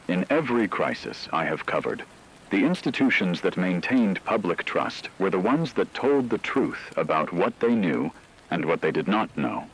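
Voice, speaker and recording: A man playing a grave, resolute veteran crisis broadcaster, delivering a solemn, deliberate monologue on emergency radio. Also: low-pitched, fairly bright tone, a little hiss or hum, full character voice, poor audio. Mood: earnest, urgent. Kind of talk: scripted dramatic radio monologue.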